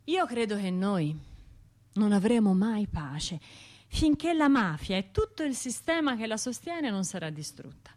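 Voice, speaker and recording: A woman's voice: mid-range voice, very animated, measured pace, a little mellow. Troubled woman who cant sleep, brooding radio play monologue, talks to herself in little broken bits. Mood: grieving, defiant. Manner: brooding, self-talking, broken short phrases, dropping to whispers. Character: troubled, sleepless woman of Florence haunted by a bombing. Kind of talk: radio drama monologue, intimate and natural.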